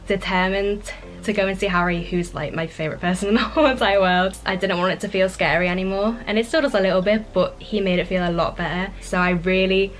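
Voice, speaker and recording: A woman's voice: mid-range voice, fairly even intonation, fast, faint music behind. A woman, speaking earnestly in an interview from her bedroom and laughing often. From England. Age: 20s. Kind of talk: sit-down interview. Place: bedroom with photo wall.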